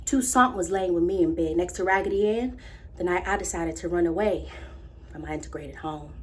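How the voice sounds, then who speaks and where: A woman's voice: on the low side, a little fast, close to an everyday voice. A woman with an American accent performing a theatrical monologue as a proud, imaginative young girl, telling her story with dramatic swings between confiding and exclaiming. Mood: hushed, confiding.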